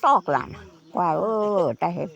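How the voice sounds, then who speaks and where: A woman telling a folk tale as a storyteller, in a slow, unhurried way with lively rises and falls.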